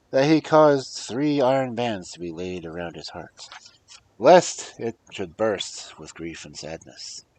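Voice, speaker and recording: A man reading fairy tales aloud as a storyteller, with a husky voice and a dramatic delivery that moves between hushed and emphatic. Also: fairly low pitch, low-quality recording. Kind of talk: expressive fairy-tale narration, read aloud.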